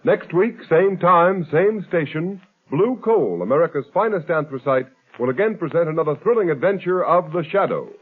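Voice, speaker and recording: A man announcing in an emphatic, bright scripted style on old radio. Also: a little high, animated, normal speed, hardly any pauses, husky. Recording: tinny old radio recording.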